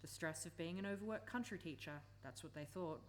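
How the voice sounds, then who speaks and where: A woman's voice: lowish voice, a little fast, slight background noise, close to an everyday voice. A woman acting an earnest, persuasive campaigner and former country teacher in a live radio play. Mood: earnest, sorrowful.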